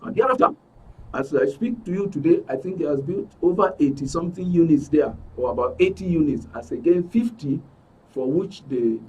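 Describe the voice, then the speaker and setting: A man's voice: on the high side, expressive, fairly quick, dark tone, husky, recorded from a distance, poor audio. A middle-aged Nigerian man giving an earnest, emphatic account as a guest in a broadcast interview, repeating phrases for stress. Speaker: studio guest, aggrieved club member. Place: radio and TV studio.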